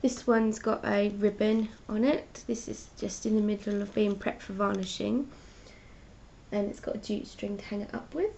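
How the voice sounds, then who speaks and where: A congested woman with a mellow, flat-toned voice talks casually through her artwork on a live stream, explaining each piece in a chatty way. The sound is dull and lo-fi, with a faint rumble behind her. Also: normal speed, vocal fry. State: congested with a cold. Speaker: artist hosting her own live stream. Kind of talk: casual art chat, showing paintings.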